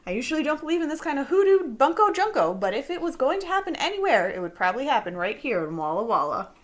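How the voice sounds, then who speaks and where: A woman voicing a brisk, bossy school nurse in a children's story, emphatic and full of rhyming slang. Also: high voice, very animated. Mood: decisive.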